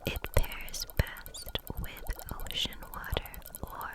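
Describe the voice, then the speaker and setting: A woman whispering an ASMR roleplay as a gentle, kindly kitchen witch, soothing and unhurried in her manner.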